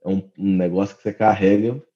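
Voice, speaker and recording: A man's voice: deep voice, steady tone, fast, dark tone, muffled recording. A Brazilian man co-hosting a podcast, chatting casually. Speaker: podcast co-host. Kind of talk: casual chat.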